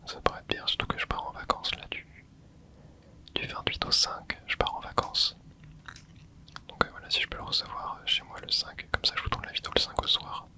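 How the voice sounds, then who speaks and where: A young adult ASMR host whispering casual, rambling chat while playing a video game, with many hesitant fillers. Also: brisk. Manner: soft unvoiced whisper throughout. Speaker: ASMR gaming channel host. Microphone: close mic.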